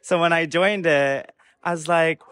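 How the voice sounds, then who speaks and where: A man's voice: a little high, steady tone, unhurried, fairly bright tone, smooth. A teenage male student casually telling his story in an interview. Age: teen. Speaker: student competitor being interviewed.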